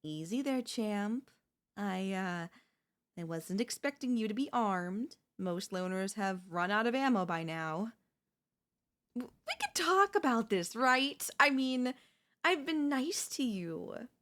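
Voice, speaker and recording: A woman's voice: medium pitch, animated, takes it slowly, a bit bright. A woman playing a chatty, cheeky female zombie in a comedic audio roleplay, breezy and falsely friendly. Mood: nervous, placating. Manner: breezy coaxing, falsely friendly, awkward backpedalling.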